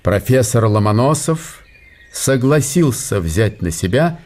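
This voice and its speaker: A man's voice: mid-range voice, lively intonation, measured pace, darkish tone. A man narrating a historical radio play in a scripted literary style.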